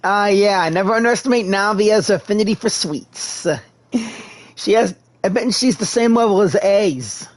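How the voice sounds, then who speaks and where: High-voiced man streaming a game. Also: moderate pace, a little hiss or hum. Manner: casual, reactive. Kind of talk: live playthrough commentary, reading dialogue aloud. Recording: faint game music behind.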